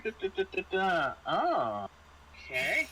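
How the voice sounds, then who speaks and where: A man with a somewhat high voice acts as game master for a tabletop horror game. He narrates off the cuff, stammering and feeling for words. Clear noise runs through the room recording. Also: wildly swooping pitch. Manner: halting, stammering, searches for words.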